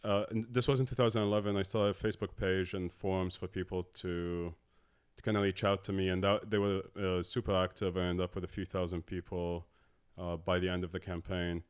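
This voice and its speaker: A man in his thirties from Abu Dhabi, a tech co-founder talking as a podcast guest in a halting way with many filler sounds. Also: sits low, level tone, clear, resonant tone. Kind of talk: casual interview talk.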